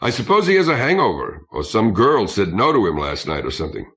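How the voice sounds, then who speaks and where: A man reading the part of a brisk, frank woman officer aboard a starship in an audiobook. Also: on the low side, wildly swooping pitch, a bit bright, exaggerated voice. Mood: frank, casual.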